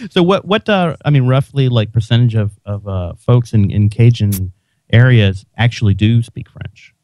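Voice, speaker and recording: A man's voice: medium pitch, lively intonation, normal speed, darkish tone, dry recording. A man hosting a podcast, chatting casually and restarting his sentences often. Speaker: podcast host. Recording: dull lo-fi sound.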